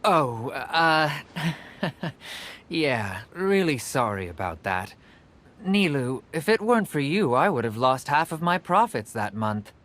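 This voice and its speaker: A man's voice: medium pitch, sing-song, slow pace, fairly bright tone, a little hiss or hum. An actor playing a jovial, wheedling cloth merchant in a video game, with theatrical salesman's patter. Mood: friendly, sheepish. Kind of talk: animated video game voice acting. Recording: music under the voice.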